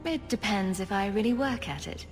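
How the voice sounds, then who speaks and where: A woman's voice: medium pitch, lively intonation, moderate pace, close to an everyday voice. An actress playing a witty, self-assured mage adventurer in a video game, teasing and dryly playful in her delivery. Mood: teasing, supportive. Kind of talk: dramatic video game acting. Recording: long reverb, music behind.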